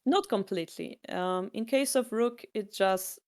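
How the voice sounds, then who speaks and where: A woman's voice: medium pitch, unhurried, darkish tone. A woman with a Polish accent teaching a board game, patient and explanatory, with slightly non-native phrasing. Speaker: shogi teacher.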